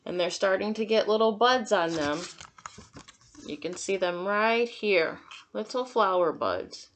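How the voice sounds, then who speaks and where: A woman's voice: mid-range voice, measured pace. A woman teacher reading a picture book aloud to young children, adding simple, encouraging comments and questions as she goes. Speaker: kindergarten teacher.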